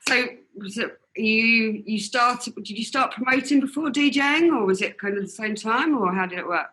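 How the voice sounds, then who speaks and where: Man, radio host, bright forceful tone, chatty, encouraging. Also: extremely high pitch, normal speed, low-quality recording. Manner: chatty, affirming, many yeahs. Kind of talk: interview hosting.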